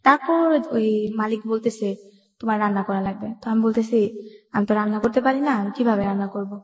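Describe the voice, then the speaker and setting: A woman's voice: mid-range voice, fast talker, darkish tone. A Bangladeshi woman telling a personal story in an interview, colloquial, often voicing remembered dialogue. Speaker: guest telling their life story. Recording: echoey room with long reverb.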